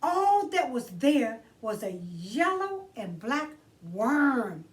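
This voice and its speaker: Woman, children's storytime host, higher-pitched voice, very lively intonation, theatrical read-aloud, recorded at a distance from the mic. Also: very slow. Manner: theatrical, addresses child listeners directly.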